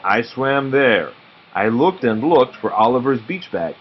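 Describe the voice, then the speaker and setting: A man narrating a children's story aloud expressively and deliberately, with dramatic pauses. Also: medium pitch, lively intonation. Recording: muffled audio with faint background noise.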